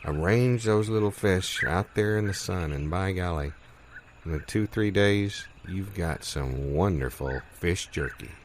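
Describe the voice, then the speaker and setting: A man playing a genial country fisherman who shares homespun tips in improvised audio comedy, folksy and deadpan. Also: sits low, measured pace, slight background noise.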